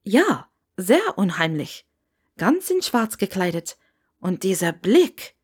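A woman's voice: mid-range voice, very animated, slow, slightly rough, exaggerated voice. A woman voicing a talkative, inquisitive female innkeeper who spooks easily, in an audiobook story. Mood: spooked, dramatic.

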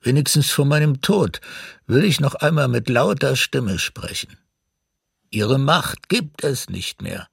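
Bitter old man, gravelly, highly animated, declamatory, dry radio drama sound. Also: measured pace, no echo, full character voice. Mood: bitter defiance. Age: older. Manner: emphatic, declamatory. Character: embittered old grandfather, defiant, railing against his oppressors. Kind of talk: documentary radio drama monologue.

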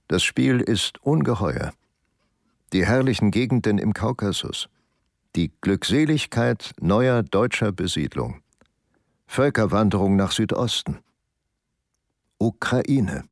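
An actor playing a cold German conqueror in a radio documentary drama, speaking in clipped, declamatory fragments. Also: male voice, lowish voice, lively intonation, takes it slowly, creaky, long pauses, no room sound, clean studio sound, exaggerated voice. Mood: cold, grandiose. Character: cold German conqueror voicing contempt and land hunger.

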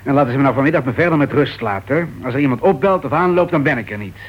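A dry, dismissive husband in a radio drama. He speaks briskly and irritably to his wife. The recording is dull and dated. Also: mid-range voice, lively intonation, slightly rough. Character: dry, irritable ex-convict crime novelist husband. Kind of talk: radio play dialogue.

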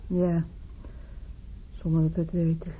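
An actress playing a troubled patient in a radio play, confiding haltingly and searching for words. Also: female voice, low voice, monotone, breathy edge. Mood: musing. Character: troubled female patient confiding haltingly about her parents. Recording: muffled phone-like radio play, noisy.

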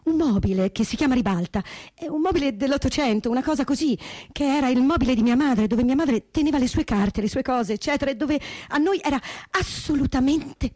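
High, mellow woman played by an actress, haltingly recounting. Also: no echo. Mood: animated recollection. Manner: halting, repeating words, colloquial. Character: woman recounting a painful family secret, candid and searching. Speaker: stage reader. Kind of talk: dramatic reading of a first-person testimony in conversational style.

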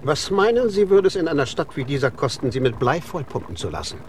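Animated, sardonic threatening tough. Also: male voice, a little high, fairly quick, background noise. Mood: sardonic threat. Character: menacing, sardonic tough guy making threats. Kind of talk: audio drama acting.

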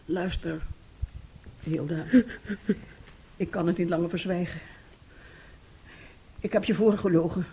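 A woman's voice: fairly low pitch, fairly even intonation, unhurried, long pauses, mellow, a little hiss or hum. An actress playing an embittered, despairing middle-aged mother in a Dutch radio play, speaking in a dramatic, weary, confiding manner. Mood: weary, confessing.

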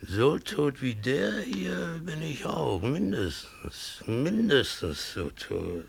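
Bitter, broken man, gravelly and slow. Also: mid-range voice, big pitch swings, exaggerated voice. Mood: desperate defiance. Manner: sardonic, halting, theatrical. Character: bitter, broken man mocking his pursuers over a corpse. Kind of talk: radio play acting.

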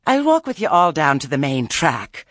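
An earthy old witch woman from a storybook, acted out by an audiobook narrator. She is mild, folksy and placating, sidestepping trouble in a lifted, lively voice. The sound is dry and close. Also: mid-range voice, moderate pace, no room sound, voice pushed up.

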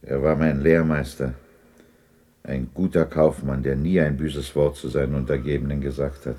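An actor playing a regretful old miser in a radio play, looking back with a wistful, emotional delivery. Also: male voice, rumbling low, level tone, normal speed, dark tone. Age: older. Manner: wistful, heavy-hearted. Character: regretful old miser revisiting his past. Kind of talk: 1960s German radio play acting.

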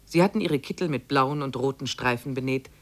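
A man plays a reflective traveller reading out his expedition diary in an old radio play. His delivery is measured and descriptive, in a mid-range voice. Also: medium pitch, natural voice. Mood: measured storytelling. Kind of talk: 1950s radio drama diary narration.

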